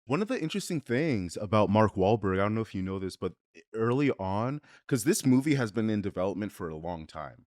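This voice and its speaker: A man co-hosting a casual film review, talking haltingly and rephrasing as he thinks. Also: fairly low pitch, very animated, a little mellow, dry recording, clean studio sound. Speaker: review show co-host.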